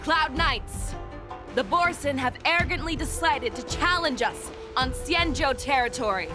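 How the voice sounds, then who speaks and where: A woman voicing a commanding female general who speaks in a measured, instructive way and rallies her troops. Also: high-pitched. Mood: rallying. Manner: measured, instructive, rousing when addressing troops. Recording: studio game dub over loud music.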